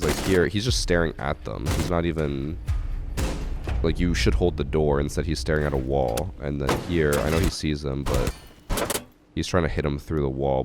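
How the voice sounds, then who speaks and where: A hoarse man with a cough, casually analyzing gameplay clips as a gaming channel host. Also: a bass voice, background noise. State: hoarse from a cough, losing his voice. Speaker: gaming channel host reviewing submitted matches. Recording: game gunfire behind the voice.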